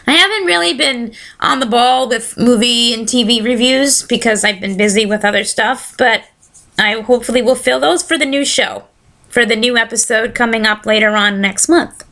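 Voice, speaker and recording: A woman hosts a casual solo podcast, talking easily and shifting into a steady reading voice. Her voice sits a little high with a bright, forward edge, and it is slightly scratchy. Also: normal speed. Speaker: podcast host.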